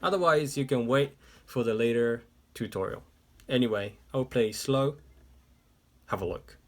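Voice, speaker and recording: A man whose first language is Japanese, teaching a ukulele tutorial in English step by step, seated indoors. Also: medium pitch. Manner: slow, step-by-step explaining. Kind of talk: music tutorial instruction. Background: ukulele playing.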